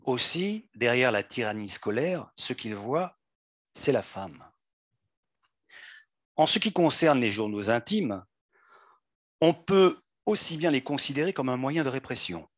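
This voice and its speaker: A man at a public event reading texts aloud into a handheld microphone in a conference room, in a measured, deliberate way. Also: mid-range voice, sing-song, fairly bright tone, dry recording, clean studio sound. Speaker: reader.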